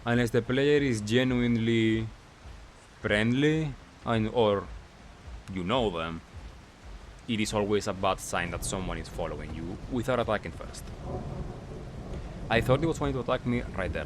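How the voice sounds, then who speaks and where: A man giving casual gameplay commentary as a recap, talking in short clipped phrases with pauses. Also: on the low side, a little hiss or hum. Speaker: gaming video creator.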